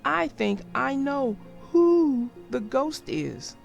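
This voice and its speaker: A woman's voice: high-pitched, very animated, takes it slowly, vocal fry, soft background music, pitched above the performer's own voice, heavily acted. A woman voicing an eager, curious young boy turtle in a read-aloud storybook. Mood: excited.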